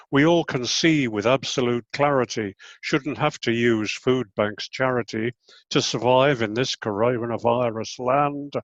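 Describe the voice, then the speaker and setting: A middle-aged man gives a slow poetry reading, pausing between short phrases. His delivery is measured and deliberate. The sound is close and dry, with a dull, muffled edge. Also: medium pitch, no echo. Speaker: poet.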